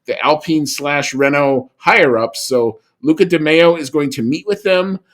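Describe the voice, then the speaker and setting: A man's voice: on the high side, normal speed. A man co-hosting a podcast in casual chat, talking in a conversational way with frequent restarts and asides. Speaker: podcast co-host.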